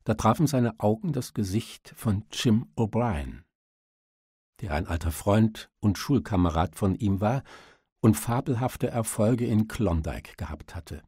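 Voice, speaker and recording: A man's voice: lowish voice, lively intonation, a little slow, warm tone, slightly rough, no echo, studio quality. A man narrating an audiobook, reading scripted literary prose.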